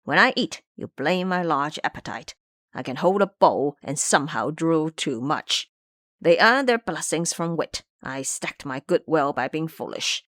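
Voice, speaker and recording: Audio drama pig monk, male, played by a woman: gluttonous, blunt grumbler with animated, uneven swings. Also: low-pitched, a little slow, no echo, studio quality, pitched below the performer's own voice. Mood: grumbling. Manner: blunt, complaining.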